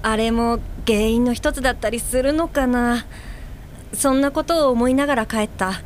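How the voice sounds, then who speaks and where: A woman's voice: on the high side, moderate pace, a little hiss or hum, lightly acted. A woman acting in an audio drama as an earnest, self-reflective guide helper, who also narrates in the first person. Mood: pensive, regretful. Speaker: lead actor and narrator.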